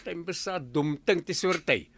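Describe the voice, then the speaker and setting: A man's voice: fairly high pitch, takes it slowly, fairly bright tone, slight background noise. A man acting as an exasperated son in an Ilocano comedy radio drama, nagging and reproachful, with an Ilocano accent. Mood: scolding.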